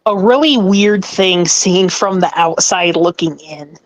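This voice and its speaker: A woman who writes ASMR scripts, speaking on a podcast panel in a hesitant, halting way. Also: on the low side, no echo. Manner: hesitant, halting, self-deprecating. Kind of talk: casual chat.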